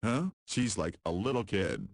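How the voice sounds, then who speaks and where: A man's voice: fairly low pitch, sing-song, measured pace, no room sound, studio quality, heavily acted. A man doing a cheerful old neighbor. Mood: joking. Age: older.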